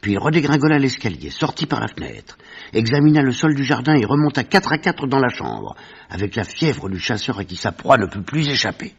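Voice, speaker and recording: An actor playing a gentleman narrator who recounts a detective mystery in formal, vivid French radio storytelling with a husky voice. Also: mid-range voice, big pitch swings, a little fast, lo-fi sound, heavily acted. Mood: narrating, suspenseful.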